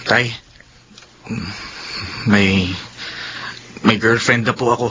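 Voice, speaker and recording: A man's voice: mid-range voice, steady tone, drawn-out words, pushed and bright, slight background noise. A man playing an earnest young man in love in a radio drama, pleading and hesitant, stammering when nervous. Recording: dull lo-fi audio, faint music behind.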